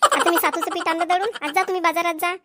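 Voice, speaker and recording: A woman's voice: very high voice, fast talker, very noisy. A woman voicing village women in a cartoon comedy, speaking colloquial rural Vidarbha Marathi. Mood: nagging. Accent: Maharashtrian, rural Vidarbha Marathi. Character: young village women, mothers and nagging wives in a comedy.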